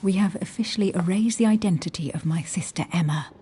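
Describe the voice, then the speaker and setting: A woman's voice: fairly low pitch, quick pace, dark tone, husky. An actress playing a proud, guarded young woman agent in a dramatic video game, speaking with cutting, defiant self-assurance. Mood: confiding, earnest. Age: young adult. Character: guarded, proud, sharp-witted young female secret agent.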